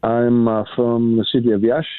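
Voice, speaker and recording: Priest calling in on a tinny phone. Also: male voice, mid-range voice, flat delivery, no echo. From Romania. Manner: halting, many hesitations. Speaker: caller, priest.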